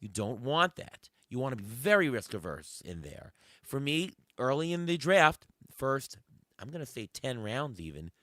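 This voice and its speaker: A hoarse man hosting a sports podcast, firing off questions and thinking aloud in casual chat. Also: mid-range voice, very animated, moderate pace, fairly bright tone, no room sound, clean studio sound. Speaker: podcast host.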